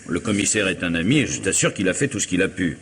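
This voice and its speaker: An actor playing a rational, authoritative lawyer friend, measured, articulate and firm, in a French radio mystery drama. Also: male voice, low voice, lively intonation, fairly bright tone, slight background noise. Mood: explanatory.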